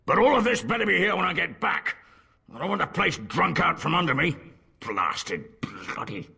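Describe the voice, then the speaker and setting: An actor playing a cowardly, surly tavern keeper in a video game, grumbling defensively in a gravelly, husky voice. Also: male voice, high voice, big pitch swings, moderate pace, sharp tone, exaggerated voice. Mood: grudging. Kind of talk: scripted video game dialogue.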